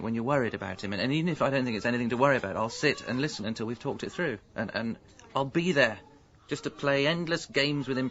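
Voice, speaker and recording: A hapless British husband and father in a radio comedy drama, played by a male actor. His voice is bright and forceful at a middle pitch, earnest and pleading, tripping into stammers. The recording is slightly dull. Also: natural voice. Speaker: lead actor and narrator. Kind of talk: radio comedy drama acting with first-person narration.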